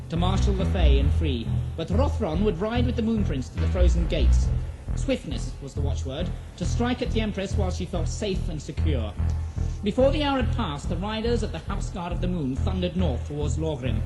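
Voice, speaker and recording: A woman's voice: lowish voice, poor audio. Woman narrating solemn fantasy over prominent music. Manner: solemn, storytelling, measured diction. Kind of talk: scripted dramatic fantasy narration.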